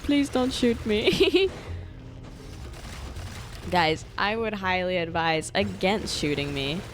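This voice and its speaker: Young gamer girl doing casual playful commentary, really animated pitch, game music clearly behind her. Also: slow. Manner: joking, playful exclamations. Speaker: video game streamer.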